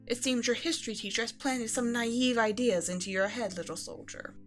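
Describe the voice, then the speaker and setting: A woman playing a proud, self-righteous evil empress who is a doting adoptive mother, telling a bedtime story in a measured, regal way in an ASMR roleplay. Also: fairly high pitch, soft background music, lightly acted. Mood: haughty, indulgent.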